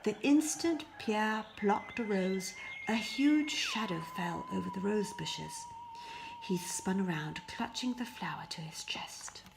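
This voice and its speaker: A woman narrates a children's fairy tale with expressive, deliberate phrasing. Her pace is slow and her voice often creaky, dropping to a hush at phrase endings. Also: medium pitch, background noise. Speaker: storybook reader.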